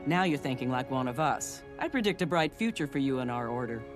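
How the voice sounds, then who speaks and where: An actor playing a sly, self-assured secret agent in a fantasy video game, talking in a wry, confident manner. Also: male voice, medium pitch, expressive, brisk, close to an everyday voice. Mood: amused. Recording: game music behind the voice.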